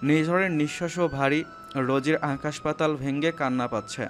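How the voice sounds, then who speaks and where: A man's voice: fairly high pitch, fairly even intonation, normal speed. A man narrating a scripted romantic story, with music playing underneath.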